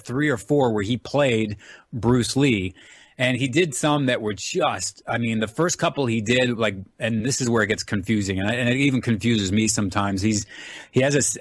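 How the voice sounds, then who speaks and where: Guy chatting as a guest, medium pitch, rambly and friendly, dry sound. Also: dry recording. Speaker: interview guest, filmmaker and author. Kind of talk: casual conversational interview.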